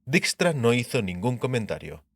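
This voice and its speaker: A man's voice: mid-range voice, very animated, moderate pace, fairly bright tone, dry recording, studio quality. A man reading fantasy fiction as a scripted audiobook narration.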